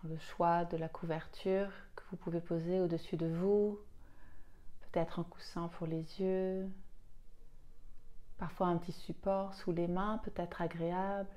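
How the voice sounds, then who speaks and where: Woman, yoga nidra teacher, very slow pace, flat even melody, soft voice, soothing guided meditation. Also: fairly low pitch. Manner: soothing, unhurried, with long pauses.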